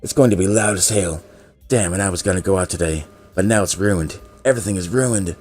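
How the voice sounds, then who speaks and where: A paranoid male student, acted out by a man, rants like a panicky conspiracy nut in a visual novel. The voice is pushed higher and keeps circling back on itself in alarm. Music plays behind it. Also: moderate pace, darkish tone, close to an everyday voice. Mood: panicked despair. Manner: alarmed, ranting, repeats himself.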